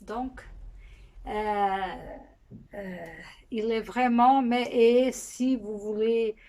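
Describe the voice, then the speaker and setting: Portuguese-accented woman hosting a knitting podcast, slow and easygoing, with frequent restarts. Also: fairly high pitch, steady tone, warm tone. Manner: unhurried, with frequent pauses and restarts. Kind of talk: casual spoken podcast monologue.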